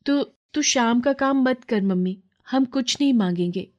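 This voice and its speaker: A woman's voice: a little high, unhurried, a little mellow, muffled recording, pitched above the performer's own voice, natural voice. A woman voicing a sweet, pleading little girl who loves food, in a childlike manner for a story. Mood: worried.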